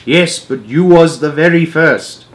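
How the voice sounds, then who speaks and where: A man putting on a higher-pitched, emphatic friendly giant. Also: measured pace, lightly acted. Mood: confident. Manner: confident, exclamatory, broken grammar. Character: big friendly male giant with muddled grammar.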